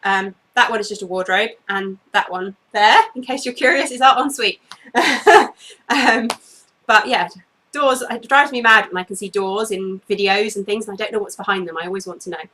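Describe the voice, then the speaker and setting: Woman, 30s, crafting vlog host; bright tone, sniffly, rambling and apologetic. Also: mid-range voice, moderate pace. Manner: chatty, apologetic, goes off on tangents with many ums. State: a bit sniffly. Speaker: knitting podcast host. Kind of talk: casual video podcast chat. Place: bedroom at home, indoors.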